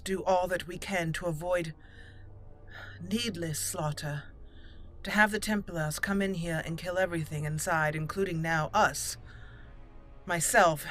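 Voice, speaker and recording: A woman hosting a roleplay game playthrough, commenting and reading aloud deliberately as she thinks. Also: lowish voice, fairly even intonation, fairly bright tone. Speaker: let's play host. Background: game music.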